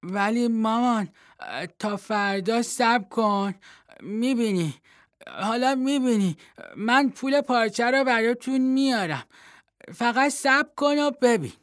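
A man voicing a naive, simple-minded young fool in a read-aloud folktale, talking in a childlike, pleading, excitable way. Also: very high-pitched, no echo, clean studio sound. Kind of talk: storybook reading.

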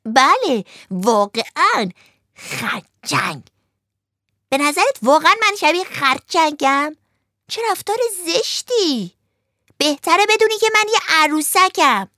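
A woman putting on a small puppet boy, his voice pitched very high and bright, shrill and pleading as he argues for his life. The storybook reading sounds dry and close, with no room around it. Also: dry recording. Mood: indignant.

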